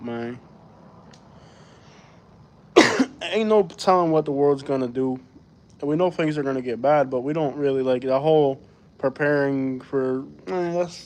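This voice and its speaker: Rambling man from Florida on a night livestream. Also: a little high. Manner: rambling, reads viewer comments aloud and answers them; loose, slangy and easygoing. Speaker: livestream host. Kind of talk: casual late-night live chat.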